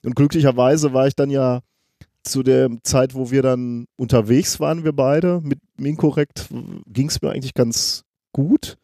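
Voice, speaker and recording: A man's voice: mid-range voice, fairly even intonation, a little slow, mellow, no room sound. Man with a cold chatting, dry mic. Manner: self-mocking, rambling, chatty. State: has a cold. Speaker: podcast host. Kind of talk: casual podcast chat.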